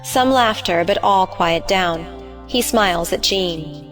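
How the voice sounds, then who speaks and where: A woman reading stage directions as scripted narration, measured and matter-of-fact. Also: mid-range voice, over music.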